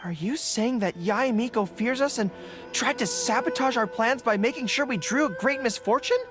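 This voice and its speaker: A woman voicing an earnest, excitable young male dog-eared general in a video game, stammering when flustered. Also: on the high side, normal speed, natural voice. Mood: alarmed, indignant. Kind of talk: video game character dialogue. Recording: game music behind the voice.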